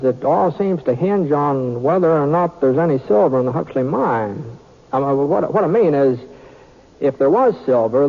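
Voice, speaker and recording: A man's voice: a little high, vocal fry, heavily acted. A fair-minded, stubborn gunman of the Old West. He talks in an understated, folksy way with frequent hesitations. It is old radio drama, with a thin, phone-like sound. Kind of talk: old-time radio western acting. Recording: tinny, narrow-band old radio recording.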